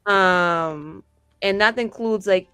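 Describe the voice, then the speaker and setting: A woman's voice: medium pitch, slow, pushed and bright, smooth, dry recording, soft background music. A woman playing a tabletop roleplaying game, improvising in casual, slangy, sweary talk with a wry, rambling manner.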